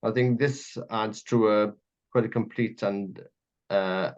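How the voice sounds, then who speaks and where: Low-voiced Welsh man on muffled audio. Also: fairly even intonation, takes it slowly, darkish tone. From Wales. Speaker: radio interview host. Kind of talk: conversational political interview.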